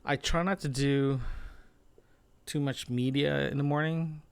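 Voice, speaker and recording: A man's voice: mid-range voice, steady tone, unhurried, vocal fry, smooth, dry recording. A man speaking in a casual audio-diary monologue as a solo podcaster, rambling and often rephrasing himself. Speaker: solo podcaster, remote-working designer.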